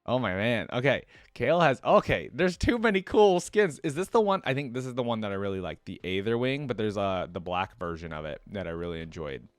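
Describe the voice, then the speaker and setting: A man's voice: on the low side, big pitch swings, brisk, few pauses, dry recording, studio quality. A man talking casually and enthusiastically as a reaction video host, commenting and reading text aloud.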